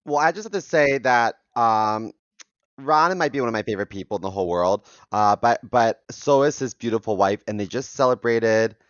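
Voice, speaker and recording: Congested male co-host, deadpan humor, mid-pitched, dry close mic. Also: normal speed, dry recording, muffled recording. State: congested, losing his voice. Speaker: podcast co-host. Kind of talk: casual chat.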